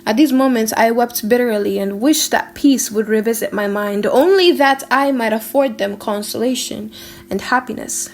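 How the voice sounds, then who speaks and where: High-voiced woman reading a dramatic audiobook. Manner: theatrical, emotive, with drawn-out pauses and hushed drops. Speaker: audiobook narrator.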